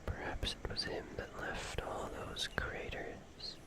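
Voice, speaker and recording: A performer voicing an ancient, gentle but eerie forest guardian in an ASMR roleplay, hushed and soothing, with lingering pauses. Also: natural voice. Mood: dreamy, musing. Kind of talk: whispered ASMR roleplay, horror-tinged bedtime storytelling.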